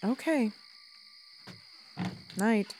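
A resentful grown daughter, played by a woman, blunt and defensive with her mother. Her pitch swings widely from line to line, and the radio-play delivery stays sharp. A faint hiss sits behind her. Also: expressive, extremely slow. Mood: weary. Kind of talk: audio drama acting.

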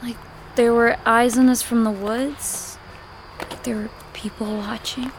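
A woman's voice: a little high, fairly even intonation, unhurried, a little hiss or hum, rough recording. An actress playing a level-headed young woman who stays practical and urgent. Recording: audio drama with music beneath.

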